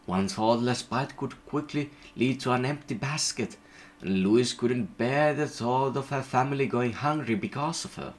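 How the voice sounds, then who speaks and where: A man reading an illustrated storybook aloud in an even, gentle narration. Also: medium pitch, moderate pace.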